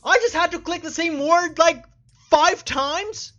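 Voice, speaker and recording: A woman's voice: very high-pitched, measured pace, fairly bright tone. A woman giving casual let's play commentary in an exasperated, sarcastic, sweary manner, on slightly muffled audio. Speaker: gaming video host.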